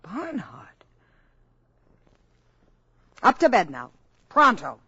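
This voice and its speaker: An actress playing a gentle, affectionate young mother in 1950s radio drama style. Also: fairly high pitch, wildly swooping pitch, drawn-out words, noisy background, exaggerated voice. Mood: motherly. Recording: dull, distant 1950s radio recording.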